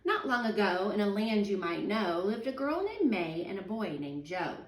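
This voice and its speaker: A woman, a teacher in her thirties, reading a children's picture book aloud at home in a sing-song, expressive way. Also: mid-range voice, normal speed. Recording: echoey room, voice a little far from the mic.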